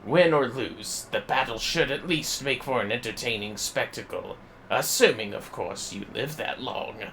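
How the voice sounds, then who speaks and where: A man voicing a grave, scheming fantasy game villain, formal and measured, with condescending menace. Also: medium pitch, animated, creaky, a bit bright, a little hiss or hum, rough recording. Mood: coolly menacing.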